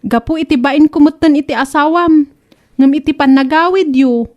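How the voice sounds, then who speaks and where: A woman plays a sharp-tongued accuser from a comedy radio drama. She has a high voice and a reproachful, taunting way of telling people off. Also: moderate pace, dull audio, close to an everyday voice. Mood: indignant, taunting.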